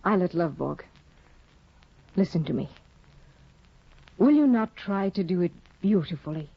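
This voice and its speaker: A cold, controlling aristocratic woman in an old radio drama. She speaks in a cool, measured, quietly manipulative way. The sound is dry and dull, like a 1950 broadcast. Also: lowish voice, expressive, breathy edge, dry recording, heavily acted. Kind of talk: 1950s radio drama acting.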